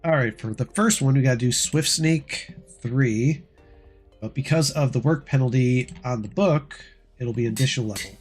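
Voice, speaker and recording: A man chatting casually on a gameplay livestream, rambling through game steps, his voice dry and hoarse as if he is losing it. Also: medium pitch, moderate pace, soft background music. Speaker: game streamer.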